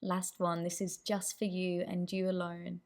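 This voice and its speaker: A woman leading a guided meditation as the instructor, with gentle, inviting instructions. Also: lowish voice, monotone, unhurried.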